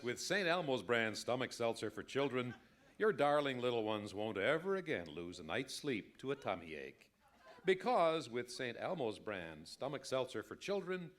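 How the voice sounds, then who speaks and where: An actor playing an earnest, emphatic announcer in an old-time radio advertisement. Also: male voice, mid-range voice, fairly bright tone. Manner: salesmanlike, emphatic. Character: smooth-talking, earnest radio commercial announcer.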